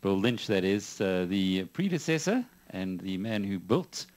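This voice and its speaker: A man's voice: low voice, big pitch swings, measured pace, a little mellow. A man interviewing a business guest, asking probing questions with a wry, conversational touch.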